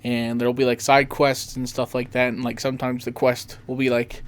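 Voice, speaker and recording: A man's voice: medium pitch, level tone, brisk. A man hosting a podcast, chatting casually about video games in a rambling way full of "like". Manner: rambling, peppered with "like". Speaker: podcast host.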